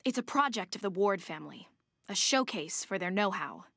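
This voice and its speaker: A woman's voice: mid-range voice, lively intonation, moderate pace, vocal fry, a bit bright, no echo, clean studio sound. An actress voicing a haughty, determined young woman of a rich family in a video game, formal and self-assured. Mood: resolute.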